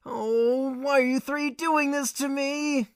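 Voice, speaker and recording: A man's voice: very high voice, steady tone, slow pace, no room sound, studio quality, exaggerated voice. A man playing an earnest, put-upon young student in an amateur audio play, given to exasperation and sarcasm. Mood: exasperated. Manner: chatty first-person narration turning to whiny protest. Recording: loud hiss and rain over voice. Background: rainstorm sound effects.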